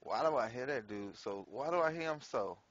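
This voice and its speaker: A man's voice: medium pitch, animated, fairly quick, fairly bright tone, no echo, highs cut off. A man casually commenting on his gameplay in a slangy, profane way, laughing often. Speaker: gameplay streamer.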